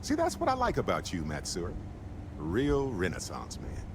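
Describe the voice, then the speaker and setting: Breezy, low-voiced roguish space explorer. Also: male voice, huge pitch swings, normal speed, noisy background. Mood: cheerful. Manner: wry, breezy, joking. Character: roguish, upbeat male space explorer, charming and flippant. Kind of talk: video game voice acting.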